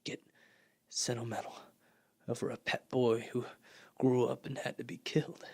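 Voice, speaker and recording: Young man playing fanatical, scheming soldier, slightly husky. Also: medium pitch, normal speed, no room sound. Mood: grim, menacing. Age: young adult. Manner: colloquial, excitable, bitterly scornful, dropping into hushed intensity. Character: fanatical, scheming artilleryman surviving an alien invasion. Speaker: student actor. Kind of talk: radio play acting.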